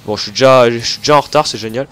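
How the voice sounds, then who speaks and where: A man's voice: mid-range voice, rapid-fire, fairly bright tone. A young man with a blocked nose from hay fever, chatting casually and rambling over gameplay, with many fillers and self-corrections. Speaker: gaming video host.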